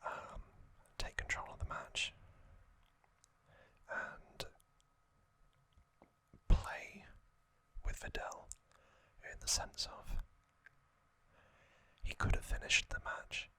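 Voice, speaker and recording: A man hosting an ASMR channel, speaking entirely in a soft unvoiced whisper close to the microphone, gentle and unhurried with frequent soft hesitations. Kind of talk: whispered ASMR trivia recap.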